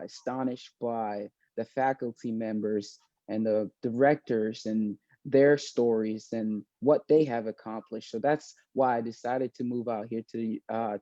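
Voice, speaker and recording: A man's voice: medium pitch, dark tone, no room sound. Young guy from maine on a video call, thoughtful, lots of uh and restarts, slightly lo-fi audio. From born in South Sudan, raised in Maine, USA. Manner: thoughtful, with many fillers and restarts. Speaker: interview guest, student. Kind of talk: casual video-call interview.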